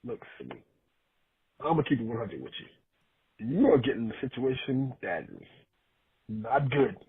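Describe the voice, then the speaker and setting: A man playing a worried, blunt caller with a husky voice, leaving an urgent voicemail in an audio drama. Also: medium pitch, big pitch swings, normal speed, no room sound, full character voice. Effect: phone voicemail. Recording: tinny, narrow phone voicemail.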